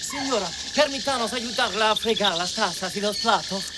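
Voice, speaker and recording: Actor as very high-voiced, eager Spanish-speaking helper man. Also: a little fast, natural voice. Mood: polite, pleading. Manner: courteous, eager to please. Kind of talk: radio play acting. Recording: noisy radio play ambience.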